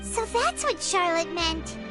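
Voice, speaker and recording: An extremely high, childlike voice for a tiny fairy game companion. She is eager and chatty, with bouncy, lively intonation. Music plays prominently behind her. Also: takes it slowly, heavily acted. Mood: cheerful. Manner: speaks of self in third person, excitable. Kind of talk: video game voice acting.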